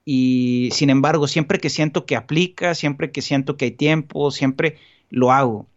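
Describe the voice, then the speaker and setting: A man's voice: mid-range voice, a little slow, dull audio. A man in casual podcast conversation as a guest, talking earnestly and rambling with frequent restarts and fillers. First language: Spanish.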